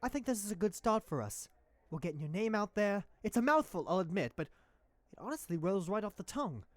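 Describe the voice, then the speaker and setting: An actor plays a supervillain's loyal sidekick in a comedic audio drama. The manner is dry and matter-of-fact but supportive, with pitch that moves freely up and down. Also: very animated, fairly quick, no echo, natural voice. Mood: encouraging.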